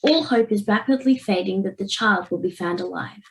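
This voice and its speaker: A woman's voice: mid-range voice, moderate pace, slight background noise, lightly acted, low-quality recording. An Australian girl of about ten playing a curious, anxious modern schoolgirl in an amateur radio play, recorded at home. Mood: intrigued. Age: 10 to 11, child. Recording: home video-call recording with music.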